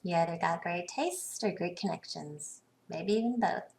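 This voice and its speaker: A woman's voice: on the low side, moderate pace, vocal fry, darkish tone. An actress playing a confident, probing businesswoman in a video game, with a composed, knowing delivery. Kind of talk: scripted game dialogue.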